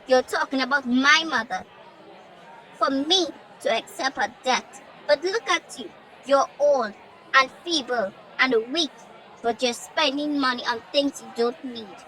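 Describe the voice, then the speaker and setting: A woman's voice: sits high, a little slow, pushed and bright, a faint crowd, a little hiss or hum, low-quality recording. A woman voicing an emotional, dramatic village girl in a told story, defiant yet tender. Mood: indignant. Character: defiant grieving village girl, tender with her sick mother.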